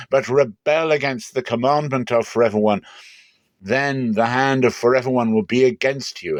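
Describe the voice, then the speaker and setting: A man's voice: mid-range voice, no room sound, lightly acted. A man voicing a stern, impassioned prophet who exhorts his people in a dramatic scripture reading. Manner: declamatory, exhorting.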